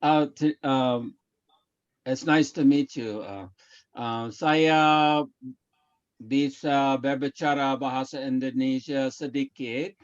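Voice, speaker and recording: Very slow, hesitant American learner man. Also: medium pitch, steady tone, muffled recording. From United States. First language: English. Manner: slow, hesitant, many ums and uhs. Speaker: language learner asking a question.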